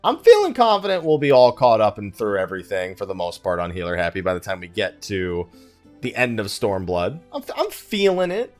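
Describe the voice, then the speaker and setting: A man's voice: on the low side, very animated, a little fast, darkish tone, soft background music. A man giving casual let's play commentary, rambling and thinking aloud as he plays. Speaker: gaming YouTuber hosting a let's play.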